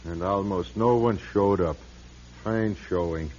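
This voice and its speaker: An actor playing a blunt, weary old priest in a radio drama, husky and sardonic, on a muffled recording. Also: male voice, low voice, a little slow, darkish tone, a little hiss or hum. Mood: bitter. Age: older. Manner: gruff, sardonic, plain-spoken. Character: blunt, weary, grumbling old Irish-American parish priest.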